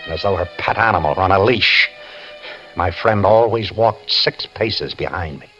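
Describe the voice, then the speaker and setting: A man's voice: low-pitched, moderate pace, sharp tone, faint music behind. An actor playing a handcuffed escaped prisoner who narrates his story in wry, tense first person, in 1940s radio acting style. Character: handcuffed escaped male prisoner, wary and scheming. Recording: dull old radio broadcast.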